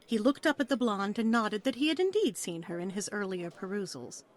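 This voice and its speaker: A woman's voice: mid-range voice, animated, a little fast. A woman reading scripted story narration for an audio play in a dramatic storytelling style.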